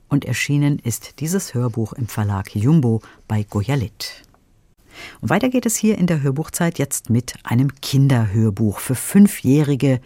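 A man presents and interviews as a radio host. His voice sits at medium pitch in a dry studio-like sound, with structured delivery. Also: warm tone, dry recording.

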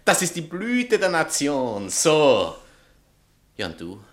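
An actor playing a pompous, theatrical writer and former lover, declamatory and sarcastic in a stage satire. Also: male voice, a little high, huge pitch swings, slow, fairly bright tone, full character voice. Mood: sarcastic, indignant. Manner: declamatory, sarcastic, self-important. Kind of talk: stage satire acting in literary German.

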